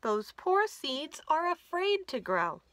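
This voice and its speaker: Woman putting on a high-pitched, sensible storybook frog. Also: sing-song, slow pace, a bit bright, dry recording, exaggerated voice. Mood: chiding. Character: kindly, sensible male frog friend of a children's story.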